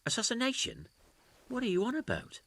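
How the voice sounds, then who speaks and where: A man's voice: very high-pitched, huge pitch swings, a little fast, vocal fry, sharp tone, dry recording, exaggerated voice. An actor playing an evasive, indignant nobleman in a video game, protesting in a sardonic, plain-spoken way. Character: evasive, indignant nobleman caught skulking at night. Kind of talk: dramatic video game acting.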